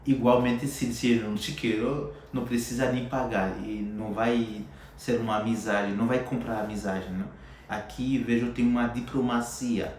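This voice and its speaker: A man's voice: mid-range voice, fairly even intonation, normal speed, a little mellow, slight background noise. A man with a Haitian accent, explaining things reflectively in a documentary interview. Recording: very echoey room.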